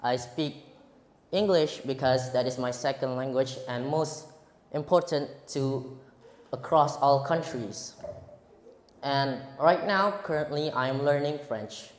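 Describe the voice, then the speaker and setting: A teenage boy from Indonesia with an Indonesian accent, giving a prepared speech at a school contest, earnest and halting, often restarting words. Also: medium pitch. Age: teens. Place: large echoey hall. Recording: roomy hall with long reverb.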